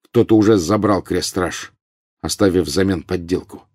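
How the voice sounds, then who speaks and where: A man's voice: sits low, normal speed, darkish tone, dry recording, studio quality. A man voicing an earnest, intense teenage boy wizard in an audiobook story. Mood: subdued.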